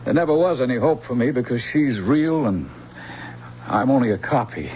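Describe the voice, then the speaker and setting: A man's voice: mid-range voice, animated, moderate pace, close to an everyday voice. An actor playing an earnest, humble humanoid man in love, speaking hesitantly and deferentially in a radio drama. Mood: anguished. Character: earnest lovelorn male humanoid android, humble and anguished. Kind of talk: 1970s-style radio drama acting. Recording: dull lo-fi radio recording, faint hiss.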